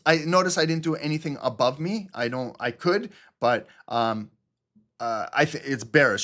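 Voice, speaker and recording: A man's voice: mid-range voice, a bit bright. Man explaining trades live. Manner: explanatory, repeats "okay?" and "right?", frequent fillers. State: throat clearing, choking on water. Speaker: trading channel host. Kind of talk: live market analysis walkthrough.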